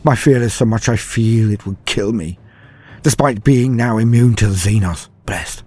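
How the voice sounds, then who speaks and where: Man acting as a terrified, halting soldier in horror tale. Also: fairly low pitch, darkish tone, soft background music, close to an everyday voice. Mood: dazed dread. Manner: halting, fearful first-person narration. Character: terrified male soldier fleeing a horde, in agony. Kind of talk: dramatic horror storytelling.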